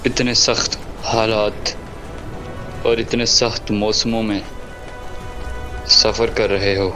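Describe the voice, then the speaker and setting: A man playing a slow, solemn refugee leader. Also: lowish voice, flat delivery, bright, forceful tone. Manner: solemn, commanding, gives orders. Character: grave male caravan leader guiding refugees. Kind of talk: audio drama acting. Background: music score.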